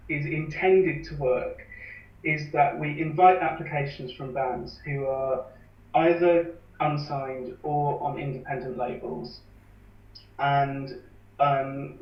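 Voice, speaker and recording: A man calmly explaining how he runs a campaign for independent bands, with an earnest manner. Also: a little high. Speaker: campaign organiser. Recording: echoey, distant, dull lo-fi sound.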